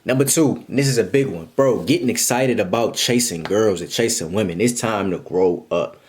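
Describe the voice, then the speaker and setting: A man's voice: lowish voice, normal speed, a little mellow. Young male vlogger, twenties, punchy and repetitive, lecturing viewers like a big brother. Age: 20s. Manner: emphatic and repetitive, talks straight to viewers. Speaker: vlogger giving life advice. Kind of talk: casual motivational monologue.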